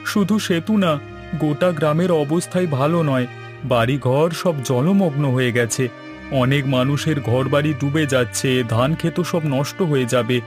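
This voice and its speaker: A man's voice: on the high side, normal speed, over music, natural voice. A man voicing an earnest, agreeable younger village man eager to help, in scripted cartoon dialogue. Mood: worried.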